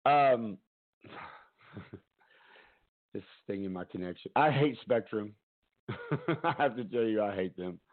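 A man hosting a casual talk stream from home, loud-voiced, rambling and chatty, often laughing, talking into a headset. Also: lowish voice, slow pace, long pauses, phone-quality sound. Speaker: talk show host.

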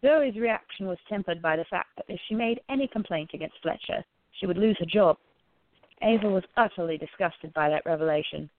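A woman's voice: on the low side, a little fast, dry recording. A woman reading scripted story narration for an audio drama. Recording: narrow phone-like sound.

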